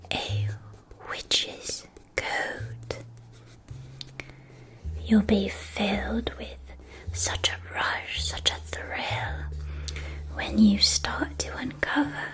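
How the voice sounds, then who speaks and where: A woman playing a gentle witch school shopkeeper in a whispered ASMR roleplay, soothing and precise, with a theatrical storytelling manner. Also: mid-range voice.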